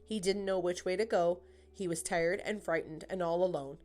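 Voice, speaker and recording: A woman's voice: fairly low pitch, steady tone, normal speed, darkish tone, faint music behind. A woman narrating a children's picture book as a scripted storytime read-aloud.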